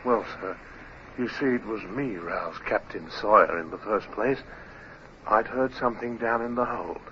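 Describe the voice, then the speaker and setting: Man playing an insolent low-voiced sailor, old radio. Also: a little slow, natural voice. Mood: sly, insinuating. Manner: slyly deferential, pointed. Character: insolent, insinuating male sailor, the captain's toady. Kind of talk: 1950s radio drama acting. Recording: muffled, hissy vintage radio recording.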